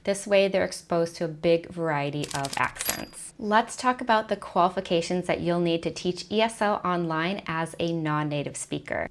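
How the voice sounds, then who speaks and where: Woman, young adult, lower register, clear list-like explainer for an advice video. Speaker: online teaching coach. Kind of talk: scripted advice video to camera.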